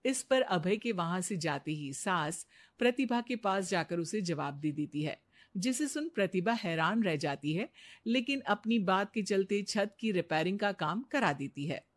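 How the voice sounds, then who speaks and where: A woman narrating a scripted animated moral story as a storyteller. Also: medium pitch, a little slow.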